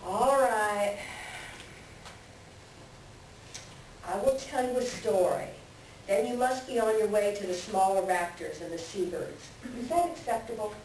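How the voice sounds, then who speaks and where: A woman voicing a wise, patient male eagle elder in a readers theater, measured in the way a storyteller reasons. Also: medium pitch, darkish tone, close to an everyday voice. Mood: conceding, calm. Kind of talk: readers theater stage reading. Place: library room, recorded from a distance. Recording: very echoey library room, distant, hissy.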